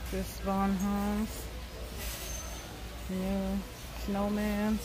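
Slow-paced woman vlogging in short phrases. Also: medium pitch, monotone, low-quality recording. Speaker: shopping vlogger. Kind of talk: casual shop-with-me narration, naming items and prices. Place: large indoor warehouse store. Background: music.

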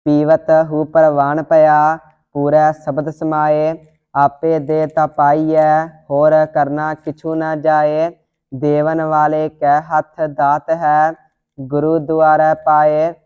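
Monotone, slow scripture recitation. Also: mid-range voice, clean tone. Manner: steady, monotone, deliberate. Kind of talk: devotional scripture recitation, read line by line. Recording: dull lo-fi audio.

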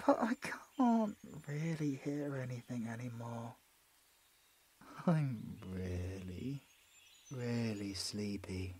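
A man's voice: mid-range voice, a little mellow, slight background noise. A British man playing a mortally wounded, sleepy man fading away tenderly in an intimate audio roleplay.

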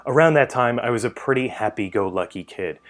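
A man's voice: mid-range voice, lively intonation, fairly quick, a bit bright. A middle-aged man from New Jersey with an American accent, reading a scripted first-person narration for a horror docuseries. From New Jersey, United States. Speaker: author telling a personal essay. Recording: music bed behind the voice. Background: guitar music.

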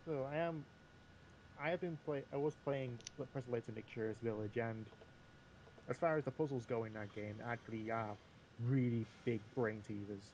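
Halting man in a noisy recording. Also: medium pitch, darkish tone, highs cut off. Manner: halting, repeats and restarts phrases. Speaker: podcast panelist. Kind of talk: casual film discussion.

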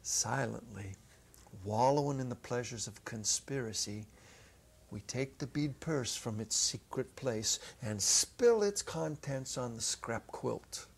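A middle-aged man narrating a story dramatically from a script, with deliberate phrasing and pauses. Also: medium pitch, animated, gravelly, breathy edge. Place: small indoor stage set. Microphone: clip-on lapel mic.

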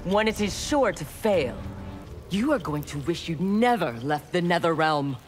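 A woman's voice: on the low side, lively intonation, a little slow. An actress voicing a stern, armored female clan warrior in a fighting game, speaking in a cold, clipped, menacing way. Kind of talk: game intro banter. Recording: game audio with music behind.

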